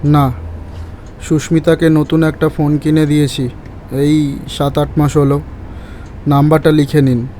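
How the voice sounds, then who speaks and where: An actor playing a mysterious middle-aged taxi driver, a father speaking calmly and evasively in an audio ghost story. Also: on the high side, a little slow, pauses often, slight background noise, natural voice. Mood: cryptic, resigned.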